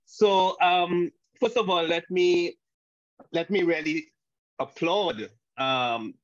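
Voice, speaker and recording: A man from Saint Lucia gives a panel talk over a video call, halting, with frequent restarts and fillers. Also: sits high, dry recording. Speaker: guest speaker. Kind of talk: online panel presentation. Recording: dull lo-fi video call audio.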